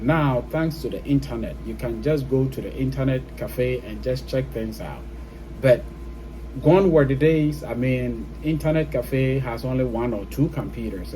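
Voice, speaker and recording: Ghanaian guy vlogging, explaining his opinion, soft mellow voice, bit of background noise. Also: mid-range voice, rough recording. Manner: explanatory, often checks in with "okay?" and "you know". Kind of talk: casual opinion talk to camera.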